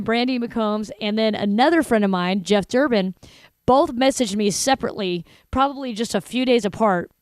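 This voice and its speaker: A woman in her forties with a Texan accent hosts a live online show. She talks in a chatty, joking way, full of fillers and asides. Her voice is smooth and clear, and the sound is dry and close. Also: medium pitch, darkish tone, dry recording. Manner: chatty, jokey, many fillers. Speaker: host. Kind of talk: casual live broadcast chat.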